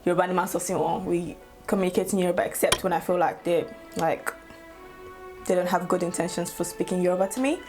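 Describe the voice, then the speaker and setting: A woman's voice: on the low side, fast, music in the background. A woman, talking casually to her camera and laughing often as she chats. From Nigeria. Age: 20s. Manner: chatty, laughs often, playful asides. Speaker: YouTuber. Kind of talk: casual get-to-know-me vlog.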